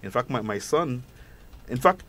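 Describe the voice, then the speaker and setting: A man with a strong Trinidadian accent, a guest expert on a talk show, explaining in halting speech with many repeated words. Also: mid-range voice, lively intonation, fairly bright tone.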